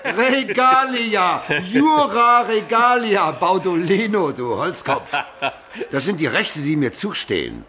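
An actor playing a blustering, imperious medieval emperor in a radio drama, indignant and booming. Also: male voice, lively intonation, slow pace, pushed and bright, slight background noise, exaggerated voice. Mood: loud, blustering. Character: hot-tempered, blustering medieval German emperor.

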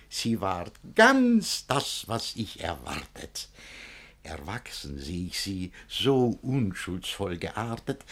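An actor playing a smug, mocking older bridegroom in a rhymed classic radio play, declaiming with theatrical self-assurance in a husky voice. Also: male voice, on the low side, full character voice.

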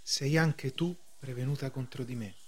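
A man's voice: mid-range voice, moderate pace, husky, lightly acted. An actor playing a troubled, defensive accused man in a radio play, with an earnest way of talking. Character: troubled accused bank man protesting his innocence. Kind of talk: theatrical radio drama acting.

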